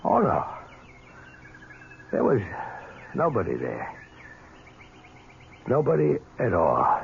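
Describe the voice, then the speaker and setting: Cold smooth rich horseman, old radio play, voice swoops all over, muffled hissy recording. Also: mid-range voice, huge pitch swings, takes it slowly, exaggerated voice. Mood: shaken. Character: callous, smooth, increasingly rattled gentleman rider. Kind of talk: 1970s radio drama acting.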